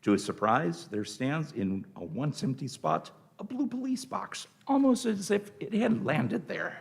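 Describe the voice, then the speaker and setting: A man narrating a scripted radio play in a theatrical, deliberate way. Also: a little high, animated, gravelly.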